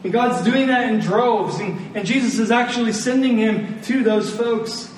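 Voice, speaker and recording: A man delivers a sermon in a high-pitched voice. He leans on deliberate repetition and rhetorical questions for emphasis. The sound is very reverberant and picked up from a distance, with faint noise underneath. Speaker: preacher. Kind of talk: sermon to a live congregation. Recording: very echoey room, distant mic, faint noise.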